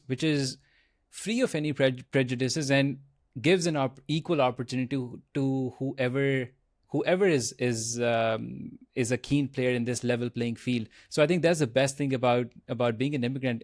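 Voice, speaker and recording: Male podcast host, mid-pitched, stop-and-start casual delivery, dry close audio. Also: no room sound. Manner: halting, many restarts. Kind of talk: casual interview questions.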